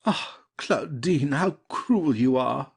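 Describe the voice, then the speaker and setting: An actor playing a lovelorn young gentleman suitor in a classic comedy audio play, courtly and plaintive. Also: mid-range voice, expressive, slow pace, creaky, slightly rough, full character voice.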